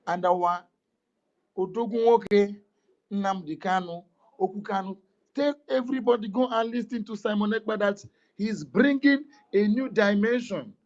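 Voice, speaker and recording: Impassioned high-voiced Nigerian man. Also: moderate pace, dull audio. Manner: emphatic, repetitive, rhetorical questions. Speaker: speaker in an online audio room. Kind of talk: impassioned call-in speech.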